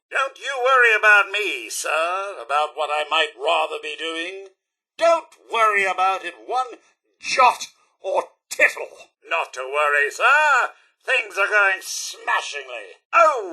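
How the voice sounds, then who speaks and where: A fussy, loyal robot butler from a video game, with a British accent. The voice is very sharp and forceful, pitched high and swooping wildly from line to line. He is pompous and servile, with a dryly sarcastic edge. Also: full character voice. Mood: forced cheer, weary. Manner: pompous, over-polite, exclamatory, servile. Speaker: companion character in a video game. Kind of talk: theatrical video game voice acting.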